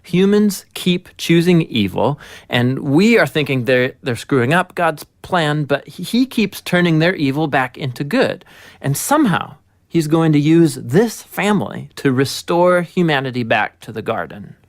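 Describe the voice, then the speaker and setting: A man co-narrating an educational explainer in a casual, conversational, animated storytelling way. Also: a little high, measured pace, no echo, clean studio sound.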